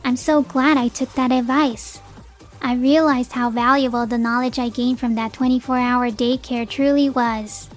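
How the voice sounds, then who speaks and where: A woman's voice: a little high, fairly even intonation, normal speed, lightly acted. A resolute young mother played by a woman narrates her story in earnest, dramatic manga dub style. She sounds like a wronged wife holding firm, with faint music underneath. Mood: relieved, reflective. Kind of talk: scripted first-person narration, manga dub.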